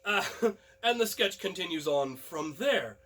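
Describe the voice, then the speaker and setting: A man telling a personal anecdote casually, in an animated storyteller's way. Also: sits high, a little slow. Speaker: storyteller, host of a story series.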